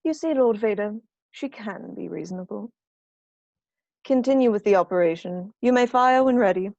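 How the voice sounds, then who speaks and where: A woman playing a cold, smug, commanding imperial officer, measured and condescending, in a scripted reading. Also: mid-range voice, expressive, a little mellow, no echo, highs cut off, close to an everyday voice. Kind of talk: scripted table read acting.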